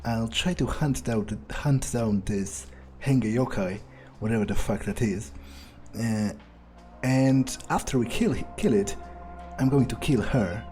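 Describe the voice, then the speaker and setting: A man roleplays aloud as his game character, sardonic and amused. His voice sits at a middle pitch with a relaxed, dark tone, and he phrases things haltingly over faint background music. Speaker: gamer playing in character.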